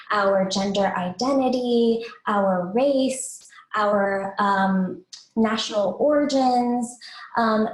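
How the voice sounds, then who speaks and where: A woman with a soft voice presenting a webinar to parents in an informal, explanatory way, posing questions as she goes. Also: on the high side. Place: indoors, online webinar. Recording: roomy, echoey webinar audio.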